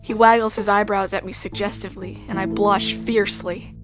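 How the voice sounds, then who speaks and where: A woman's voice: medium pitch, flat delivery, fairly quick, natural voice. Woman as earnest narrator heroine over music. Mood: narrating. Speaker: narrator and player character. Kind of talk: scripted first-person narration with dialogue. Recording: music behind the voice.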